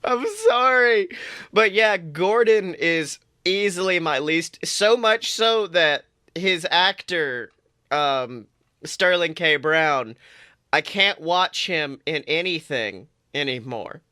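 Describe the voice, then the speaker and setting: A man with a bright, slightly high voice chats on his podcast, animated and quick to swear and laugh. The sound is dry and close, from a studio mic. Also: clean tone, no echo. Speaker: podcast co-host. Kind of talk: casual chat.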